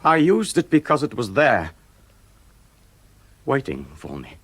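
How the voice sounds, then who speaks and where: An actor playing a desperate, self-justifying murderer in a radio drama, brooding theatrically with sudden nervy outbursts. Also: male voice, medium pitch, huge pitch swings, unhurried, breathy edge, exaggerated voice. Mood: defensive, agitated.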